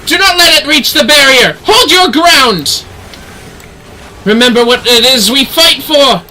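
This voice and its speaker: A man's voice: extremely high pitch, animated, a little slow, bright, forceful tone, a little hiss or hum, pitched above the performer's own voice, heavily acted. A man voicing fervent fantasy knight commanders in a video game, declaiming urgent battle cries to rally troops. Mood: rousing, resolute.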